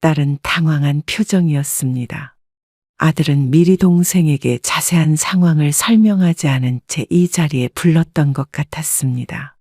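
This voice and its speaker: Calm woman narrating a family story. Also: low-pitched, normal speed, dry recording, studio quality. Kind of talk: scripted first-person story narration.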